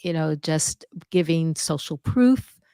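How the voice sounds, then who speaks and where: A woman hosting an interview, speaking softly and thoughtfully. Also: deep voice, lively intonation, slow, smooth, no room sound. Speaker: podcast host. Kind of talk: conversational interview.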